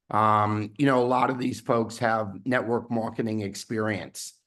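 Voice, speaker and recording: A man hosting a weekly team video call, his voice hoarse from laryngitis, rambling with fillers and restarts. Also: mid-range voice. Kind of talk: casual team announcements.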